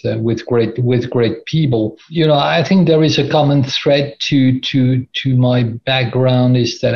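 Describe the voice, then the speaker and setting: A man's voice: medium pitch, a little mellow. A man in his fifties from France, speaking English with a French accent as an interview guest on a video call from his office, often repeating words and laughing between phrases. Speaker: company CEO guest. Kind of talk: conversational interview. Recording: slightly dull video call audio.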